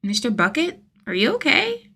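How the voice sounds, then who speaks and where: Nine-year-old boy, proud and earnest, pitched up from the narration, played by a woman, blunt storybook kid. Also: wildly swooping pitch, moderate pace, vocal fry, pushed and bright, husky, exaggerated voice. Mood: polite, earnest. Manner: blunt, eager, childlike.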